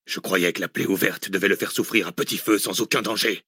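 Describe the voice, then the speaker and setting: Cold gang boss, low and husky, clipped; a male narrator plays him in an audiobook thriller. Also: steady tone, fairly quick, sharp tone, no echo, studio quality, full character voice. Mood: curt. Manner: curt, clipped, menacing. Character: ruthless male gang leader, cold, short-tempered.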